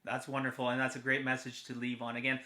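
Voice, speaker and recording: A man's voice: mid-range voice, monotone, quick pace, pushed and bright. A Canadian man hosting a conversational interview, repeating words as he goes. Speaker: host.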